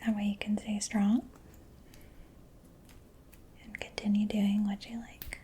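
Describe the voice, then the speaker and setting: A soft-spoken woman in a breathy whisper, gently and reassuringly playing a caring mechanic in an ASMR roleplay. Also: mid-range voice, flat delivery, slow, slight background noise. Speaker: ASMR performer.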